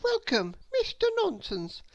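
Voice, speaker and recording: A brainy little storybook lady with a high, precise voice, played by a woman. She is polite and formal, and speaks with a prim lilt. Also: huge pitch swings, a little slow, creaky, dull audio, full character voice. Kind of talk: storybook character voice.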